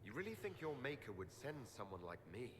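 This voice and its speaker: A man's voice: mid-range voice, very animated, fast talker, fairly bright tone. An actor playing an earnest, doubtful and defensive male dwarf hero in a video game. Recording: noisy game audio.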